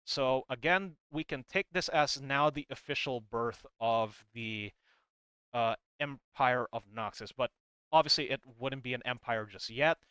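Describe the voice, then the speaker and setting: A man's voice: medium pitch, unhurried, bright, forceful tone, clear, resonant tone, no echo. A man giving a casual, rambling explainer commentary on game lore, as a video creator talking through what he shows. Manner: rambling, hedging, reads comic text aloud between comments.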